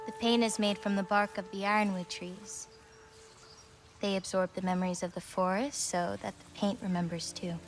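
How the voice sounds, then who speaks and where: A woman's voice: medium pitch, steady tone, moderate pace, over music, close to an everyday voice. A woman playing an earnest, awkward teenage girl in a fantasy game, eager and a little lonely. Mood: calm, absorbed.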